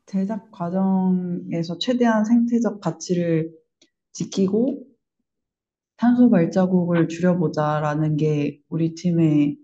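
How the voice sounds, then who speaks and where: Woman, creative team interviewee. Dark, soft-edged tone, earnest, explanatory, reflective; recording a little muffled. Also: fairly low pitch, slow pace.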